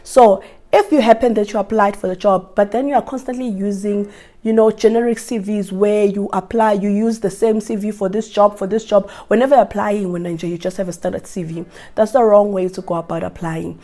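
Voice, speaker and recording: A young South African woman with a South African accent, talking casually to camera and giving job-application advice while hoarse from flu. She talks earnestly, repeating and restarting her phrases. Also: medium pitch, steady tone, fairly quick, warm tone.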